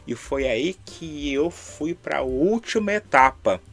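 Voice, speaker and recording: A man's voice: on the high side, expressive, takes it slowly, creaky, fairly bright tone, soft background music. A middle-aged Brazilian man co-hosting in casual chat, speaking Brazilian Portuguese in an earnest, encouraging way. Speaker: co-host.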